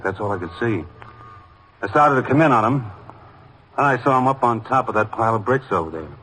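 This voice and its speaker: A man's voice: fairly low pitch, a bit bright. An American actor playing an earnest, defensive patrolman in a 1950s radio drama, clipped and insistent in his dutiful answers. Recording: noisy old radio drama recording.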